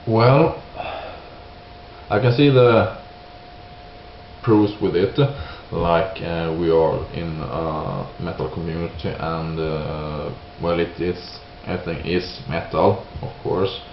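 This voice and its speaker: A man from Sweden with a Swedish accent, giving an unscripted opinion monologue in halting English, hesitant and searching for words. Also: low voice, steady tone. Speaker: video creator giving opinions. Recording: roomy, dull lo-fi sound with hiss.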